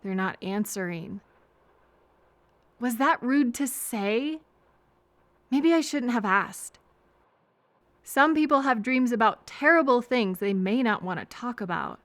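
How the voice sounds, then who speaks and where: Woman playing a sweet caring lady on a train, highish soft voice, kinda hesitant and soothing, audio roleplay, really dry sound. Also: animated, dry recording. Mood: worried musing. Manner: gentle, hesitant, reassuring, pausing for replies. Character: kind, apologetic woman train passenger comforting a frightened stranger.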